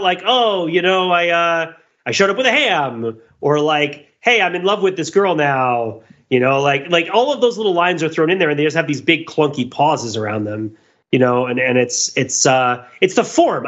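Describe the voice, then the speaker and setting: A man talking casually as a podcast host, animated and rambling, often halting mid-thought. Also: fairly high pitch, lo-fi sound. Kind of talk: casual discussion of old television.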